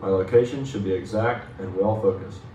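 A man announces a stereo imaging test in a flat, measured voice. The sound is roomy and distant, like a recording played through loudspeakers, with a faint hiss behind it. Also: lowish voice, highs cut off. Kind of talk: test track narration.

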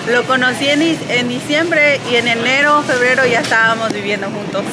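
A woman's voice: a little high, normal speed, heavy background noise. A woman in her forties from Mexico with a northern Mexican accent, chatting relaxedly in a supermarket interview. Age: 40s. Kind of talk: casual street interview. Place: large supermarket indoors.

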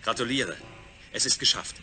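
A man's voice: medium pitch, lively intonation, normal speed, pushed and bright, a little hiss or hum. An actor playing a composed, exacting male ground controller giving matter-of-fact instructions in a radio play.

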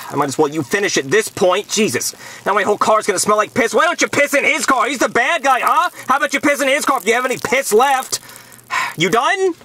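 A man voicing a grumpy, sarcastic police officer who keeps breaking into excited yelps. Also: extremely high pitch, a little fast, full character voice. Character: grumpy sarcastic male cop.

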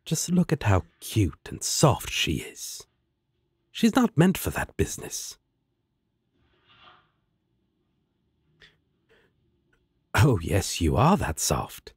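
A man acts out a smug male demon in an audio roleplay, sardonic yet protective. He drawls slowly and teasingly, the pitch swooping widely, with a husky edge to the voice. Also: darkish tone, no echo, studio quality, heavily acted.

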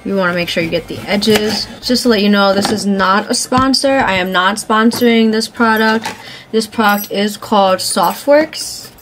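A woman's voice: medium pitch, normal speed, over music. A young woman with a stuffy, congested voice from a cold, talking casually through a cooking tutorial in her home kitchen, with slang and fillers. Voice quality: chatty, laid-back, sprinkles in slang and fillers. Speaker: cooking vlogger.

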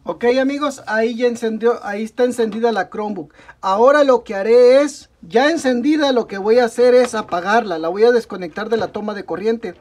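A young man with a Mexican Spanish accent, walking through a computer repair step by step at his workbench, often pausing to correct himself. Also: extremely high pitch, a little mellow. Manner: talks through each step while working, frequent "ok, amigos" and self-corrections. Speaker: tech tutorial host. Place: indoor workbench at a desk.

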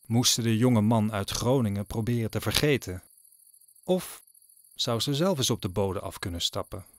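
A man narrating an audio drama as a scripted story, deliberately, with suspenseful pauses. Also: lowish voice, background noise. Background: music.

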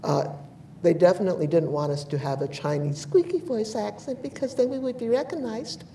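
An older woman from New Mexico on a panel, telling personal memories in a measured, thoughtful way with frequent pauses. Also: mid-range voice, mellow. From United States (New Mexico). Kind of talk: reflective personal storytelling. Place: bright large indoor room, seated in armchair. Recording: echoey room, distant mic, faint hiss.

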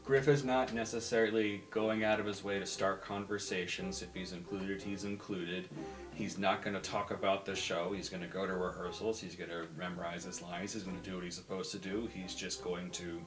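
Male game player, lowish voice, conversational, faint music behind. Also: fairly even intonation, normal speed. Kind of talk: casual table talk, describing his character's actions.

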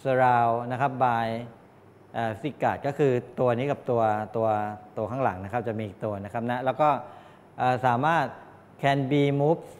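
Middle-aged male lecturer in an echoing room. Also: mid-range voice. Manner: halting, explanatory, with frequent polite tag particles. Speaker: college lecturer in medical electronics. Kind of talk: classroom lecture. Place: medium-sized indoor classroom with wooden desks. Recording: echoey classroom, distant pickup, background noise.

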